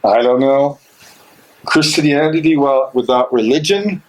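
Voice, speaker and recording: A man's voice: medium pitch, a little slow, a little hiss or hum, lightly acted, rough recording. A man acting a troubled but resolute imprisoned theologian in an amateur stage reading, anguished, brooding and hesitant.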